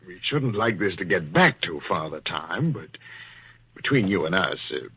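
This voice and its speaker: An actor playing a flustered, officious tribunal chairman in a 1940s radio drama, hemming and formal in a husky voice. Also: sits low, very animated, moderate pace, bright, forceful tone, a little hiss or hum, heavily acted. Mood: confiding, hesitant. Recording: dull old-time radio recording.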